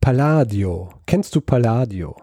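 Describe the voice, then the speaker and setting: Man, casual storyteller, very dark mellow voice, halting delivery, dry close sound. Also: medium pitch, lively intonation, no room sound. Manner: hesitant, many ums and restarts. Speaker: podcast host telling a story.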